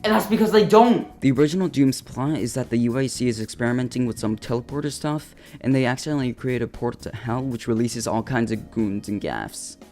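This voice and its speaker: A man's voice: mid-range voice, brisk, hardly any pauses, darkish tone. A man delivering casual, scripted comedic commentary for a video essay, with a flippant, slangy, jokey manner. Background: upbeat video game music.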